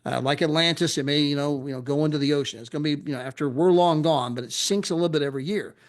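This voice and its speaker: A middle-aged American man giving an animated sales pitch for a travel club, piling up destinations and chuckling at his own asides. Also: fast. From USA.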